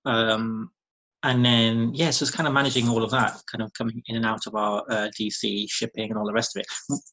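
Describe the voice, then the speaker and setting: A man's voice: on the low side, little pitch movement, brisk, a bit bright, poor audio. A British man in his thirties chatting as a podcast guest, rambling with lots of ums and restarts and laughing easily. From Britain. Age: 30s. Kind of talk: casual chat.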